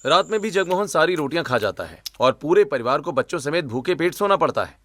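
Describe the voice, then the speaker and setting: A man's voice: fairly high pitch, big pitch swings, fast, a bit bright, dry recording. A man narrating a scripted cartoon story.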